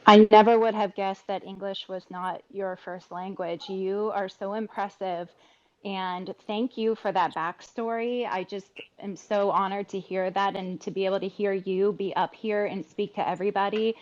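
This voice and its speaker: A woman's voice: mid-range voice, steady tone, moderate pace, a little mellow. A woman in a casual group audio chat, speaking in a gushing, supportive way. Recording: dull lo-fi audio chat sound.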